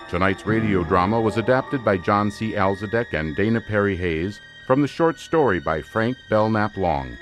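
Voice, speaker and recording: Man, radio drama announcer, scripted sign-off, lowish pitch, lively intonation, music behind. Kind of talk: scripted old-time radio sign-off narration.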